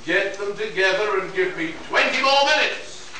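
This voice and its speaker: A man's voice: high voice, animated, normal speed. A man playing a know-it-all scientist detective in an amateur live radio play, lecturing condescendingly and stumbling over the script. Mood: brisk, commanding. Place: convention room, distant microphone. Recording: noisy echoey hall recording from far off.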